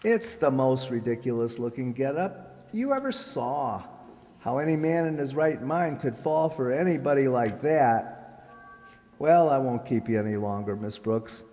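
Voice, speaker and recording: A man's voice: medium pitch, animated, measured pace, warm tone, close to an everyday voice. A man playing a naive, earnest young fellow in an old-style radio comedy, with a plodding way of talking. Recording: echoey hall, long reverb.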